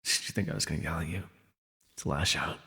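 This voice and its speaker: A man playing a jaded, wry imprisoned telepath supervillain in an ASMR audio roleplay, speaking in a sardonic, weary, gravelly way with sighs. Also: a bass voice, fairly even intonation, quick pace, pushed and bright, in a large hall.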